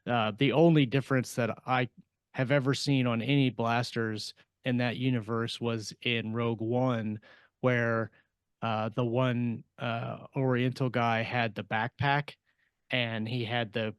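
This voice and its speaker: A man co-hosting a podcast in casual discussion, explaining things haltingly as he thinks aloud. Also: mid-range voice, clean tone, no echo, studio quality. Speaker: podcast co-host and fiction writer.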